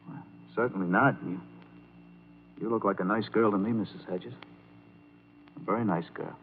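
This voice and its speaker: An actor playing an earnest, awkward young man with a halting, boyish manner in an old-time radio drama. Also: medium pitch, slight background noise, natural voice. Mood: bashful. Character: earnest, awkward young piano player narrating his story. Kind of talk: 1940s radio drama acting and first-person narration. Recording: tinny old radio recording with music.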